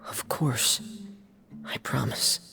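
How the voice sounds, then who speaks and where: A man voicing a shy, gentle teenage boy in a video game, quiet and hesitant. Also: takes it slowly, in a whisper, close to an everyday voice. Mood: timid, solemn. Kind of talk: anime-style video game acting. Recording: clear game music behind.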